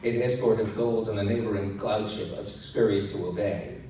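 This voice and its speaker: A man's voice: fairly low pitch, steady tone, moderate pace, dark tone. Echoey, distant man reading fiction aloud. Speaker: audiobook narrator reading to an audience. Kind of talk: dramatic live fiction reading with character voices. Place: live meetup room with audience. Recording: echoey noisy room, recorded from far off. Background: audience laughter and room noise.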